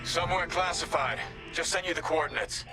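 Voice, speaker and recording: An actor playing an earnest ship's officer reporting under pressure in a space video game, with loud music and engine noise behind. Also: male voice, fairly high pitch, a little fast, natural voice. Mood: businesslike. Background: loud score, spacecraft engines.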